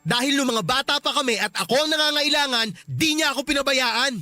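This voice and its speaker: A man acting a pressured, soft-hearted young Filipino husband in a radio drama, hesitant and placating. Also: very high-pitched, fairly quick, music in the background. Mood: exasperated, firm.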